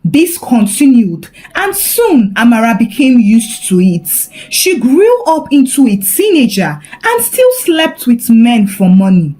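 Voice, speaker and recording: A woman narrating a scripted folktale in a dramatic, expressive storytelling manner. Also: fairly high pitch, measured pace, hardly any pauses.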